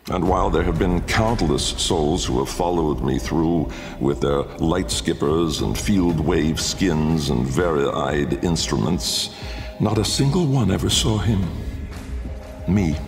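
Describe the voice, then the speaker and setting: An actor playing an old, haunted, learned nobleman-scientist in a video game, delivering a grave, measured gothic monologue with a gravelly voice. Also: deep voice, heavily acted. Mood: haunted doubt. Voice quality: gravelly, creaky. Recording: long cavernous reverb under music.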